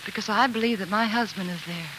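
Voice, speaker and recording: An actress playing a devoted, resolute wife of an explorer in a 1940s radio drama, with earnest, refined delivery. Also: female voice, medium pitch, fast talker, very noisy, close to an everyday voice. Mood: quietly resolute. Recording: vintage 1940s radio broadcast, music underneath.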